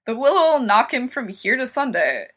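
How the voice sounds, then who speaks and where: A woman's voice: on the high side, big pitch swings, moderate pace, fairly bright tone, pitched above the performer's own voice. A woman voicing a sly, careless teenage boy in an audiobook, flippant and scoffing in manner. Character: cocky, sly, mocking, careless teenage schoolboy. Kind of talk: dramatised fan-fiction audiobook reading.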